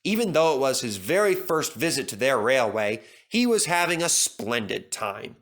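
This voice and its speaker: Animated male children's storyteller, bright voice. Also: mid-range voice, normal speed. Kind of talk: scripted children's story narration.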